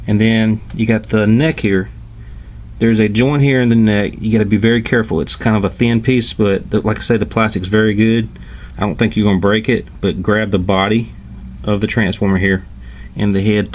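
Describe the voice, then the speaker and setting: A man giving a casual, informal hands-on toy review, explaining step by step with hesitant pauses. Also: lowish voice. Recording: clearly noisy home recording.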